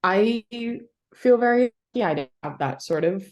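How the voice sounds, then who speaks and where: A woman from the United States, a historian, answering interview questions in a thoughtful, halting way with many fillers and soft laughs. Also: medium pitch, expressive, highs cut off.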